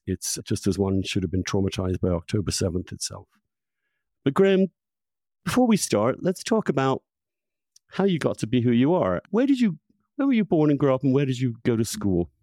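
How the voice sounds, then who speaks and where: A man hosting a conversational interview podcast, talking in an effusive, halting way and repeating words for emphasis. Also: lowish voice, sing-song, dark tone, no echo. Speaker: podcast host. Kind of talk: conversational interview with long personal introduction.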